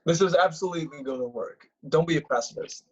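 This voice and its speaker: A man's voice: on the high side, quick pace, husky. An actor playing a determined, bossy young man in a comedy script read over a video call. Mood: insistent. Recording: muffled, lo-fi video-call audio.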